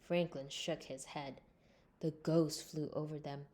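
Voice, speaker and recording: A woman reading a scripted children's storybook aloud in an expressive way. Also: low-pitched, takes it slowly. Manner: expressive, acts out character lines. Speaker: storybook reader.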